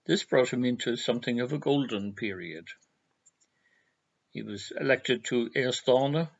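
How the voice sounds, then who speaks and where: An Irish man delivers a scripted introduction with literary formality. His voice is forward and bright, with a gravelly creak at the edges. The recording sounds a little dull and lo-fi. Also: medium pitch. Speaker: presenter giving an introduction. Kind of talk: scripted tribute narration.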